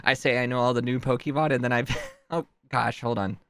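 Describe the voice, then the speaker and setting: Male streamer, stuffy nose, casual self-interrupting ramble, smooth clear tone, dry close sound. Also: steady tone, a little fast, a bit bright, no echo. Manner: rambling, self-interrupting, chuckling, jumps in pitch.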